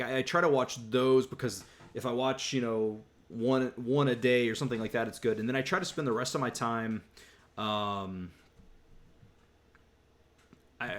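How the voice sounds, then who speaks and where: A young man hosting a casual live Q&A, rambling with many restarts as he reads and answers chat questions. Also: fairly low pitch. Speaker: YouTube host answering viewer questions. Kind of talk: casual livestream Q&A.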